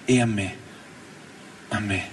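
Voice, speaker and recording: A man giving a theatrical first-person reading of a novel, with rhythmic phrasing and pauses, over electric organ and guitar. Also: fairly low pitch, fairly even intonation, distant mic, a little hiss or hum. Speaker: reader at a literary reading. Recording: voice off-mic under loud music. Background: loud electric organ and guitar music.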